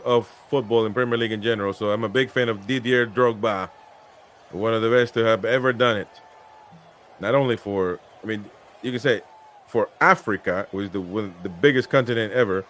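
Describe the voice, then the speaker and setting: A man's voice: lowish voice, a bit bright, slight background noise. Host: Peru-born man, casual live match chat, reads comments from viewers.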